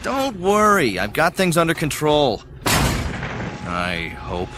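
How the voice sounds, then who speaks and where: A man's voice: fairly high pitch, huge pitch swings, takes it slowly, heavy background noise, full character voice. An actor playing a cocky, wisecracking male star pilot in an audio drama. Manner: brash, wisecracking. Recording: loud music and battle effects.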